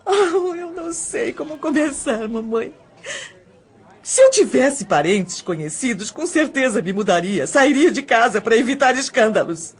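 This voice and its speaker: A woman's voice: sits high, animated, moderate pace, breathy edge. An actress playing a distressed, timid young woman in an audio drama, hesitant and pleading as she confesses. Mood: tearful, distressed.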